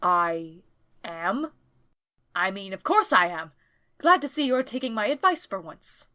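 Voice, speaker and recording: A woman's voice: mid-range voice, big pitch swings, a little slow, pushed and bright, dry recording, clean studio sound. A woman voicing a blunt, sardonic, haughty pony princess in a cartoon-style audio drama. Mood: smug. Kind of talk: animated-series fan audio drama.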